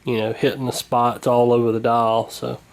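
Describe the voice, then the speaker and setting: A man at mid pitch talks through a workshop repair in a relaxed, rambling way, stopping often for fillers. He sounds unhurried and plainspoken. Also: gravelly. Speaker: vintage radio repairer. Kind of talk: casual workshop narration.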